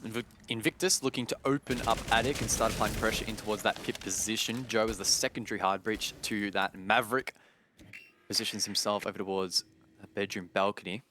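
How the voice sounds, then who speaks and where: A low-voiced man commentates an esports match live. He talks through tactics in a wordy, analytical way. Faint gunfire and clicking from the game sit behind his voice. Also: steady tone, brisk, bright, forceful tone, a little hiss or hum. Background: video game gunfire.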